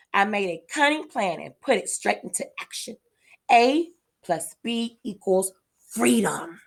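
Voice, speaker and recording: A small, cunning, indignant furry beast from a storybook, voiced by a woman. It sounds melodramatic and put-upon, with very varied pitch and measured pacing. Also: exaggerated voice. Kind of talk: children's storybook read-aloud.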